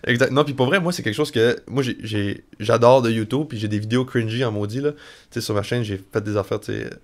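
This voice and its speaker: A man with a Canadian French accent hosting a podcast, easygoing and quick to agree in casual chat. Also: medium pitch. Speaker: podcast host.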